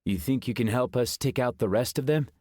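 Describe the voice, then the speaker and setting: A man voicing a big, gruff hunter who speaks low and measured in an audiobook. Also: a little mellow, dry recording, studio quality, natural voice. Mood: appraising.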